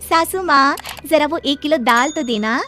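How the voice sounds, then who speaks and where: A woman's voice: sits high, measured pace, sharp tone, soft background music. A woman voicing a wheedling, scheming young daughter-in-law in a cartoon story.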